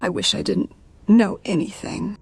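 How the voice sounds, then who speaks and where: An actress playing a troubled, inquisitive woman in a crime radio play, with a husky voice. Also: mid-range voice, a little slow. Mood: anxious, inward. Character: troubled, inquisitive woman quietly investigating an accident. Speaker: voice actor in a crime audio drama.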